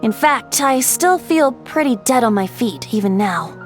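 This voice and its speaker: A woman's voice: on the high side, animated, measured pace. A woman voicing a playful, wistful ancient spirit in the shape of a youthful bard in a video game, with a lilting, teasing, theatrical delivery. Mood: lighthearted. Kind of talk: scripted game character storytelling. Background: background music.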